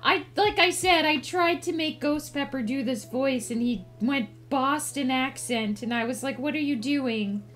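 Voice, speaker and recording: A woman's voice: high voice, a little slow, hardly any pauses, a bit bright, soft background music. A woman livestream host chatting casually with viewers in a playful, cutesy put-on character voice.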